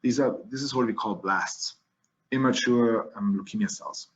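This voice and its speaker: A man's voice: medium pitch, moderate pace, lo-fi sound. A man with a German accent, a physician lecturing on diagnosis over slides, explaining step by step with frequent restarts and fillers.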